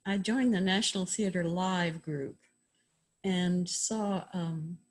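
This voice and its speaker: A woman with a slightly husky voice making casual remarks as a participant in a video-call discussion. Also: on the low side, fairly even intonation, takes it slowly.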